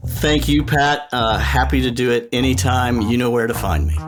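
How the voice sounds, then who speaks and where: A middle-aged or older man giving thoughtful, articulate interview answers as a podcast guest, in measured, reflective phrasing. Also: mid-range voice, loud music behind the voice. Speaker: podcast guest, sonic strategy expert.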